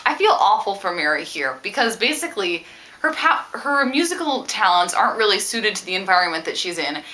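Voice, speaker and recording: A woman talking casually about a book as a vlogger, with an animated, emphatic and opinionated way of speaking. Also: medium pitch, brisk. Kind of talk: casual book discussion to camera.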